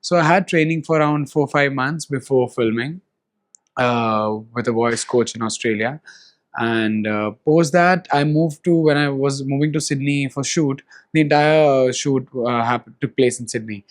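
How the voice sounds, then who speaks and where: A man's voice: mid-range voice, steady tone, moderate pace. A young Indian man with an Indian English accent, an actor being interviewed, chatting animatedly with frequent laughs and fillers. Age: young adult. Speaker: actor guest. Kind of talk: casual interview, mixing English and Hindi.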